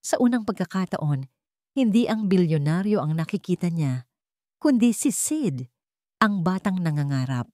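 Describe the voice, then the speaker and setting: Mellow, expressive woman storyteller. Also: lowish voice, normal speed, no room sound, clean studio sound. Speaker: story narrator. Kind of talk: scripted dramatic narration of a romance story.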